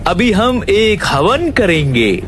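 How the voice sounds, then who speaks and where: Slow, swooping fake holy man played by a man. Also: sits high, very animated, a bit bright, heavily acted. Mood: falsely pious. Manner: drawn-out, pious chanting. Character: cunning fake holy man, greedy, chanting.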